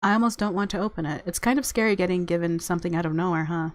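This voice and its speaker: A woman's voice: lowish voice, racing, no room sound. A woman narrating a visual novel aloud as a let's-player, mixing story reading with casual commentary.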